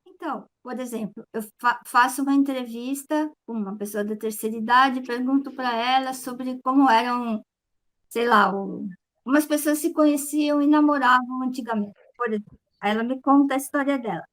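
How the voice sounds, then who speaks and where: A woman's voice: sits high, moderate pace, a bit bright. A Brazilian woman talking casually as a workshop student, laying out an idea by example.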